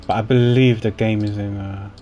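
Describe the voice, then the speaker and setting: A man chatting casually on a game livestream, halting and thinking aloud as he goes. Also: lowish voice, fairly even intonation, mellow, muffled recording. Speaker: game streamer. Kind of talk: casual livestream chat while playing. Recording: faint noise, keyboard typing.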